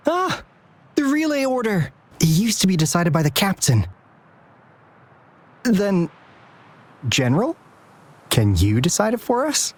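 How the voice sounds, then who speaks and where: An actor playing an earnest, hesitant young team leader in a video game, speaking in a measured way. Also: mid-range voice, sing-song. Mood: thoughtful.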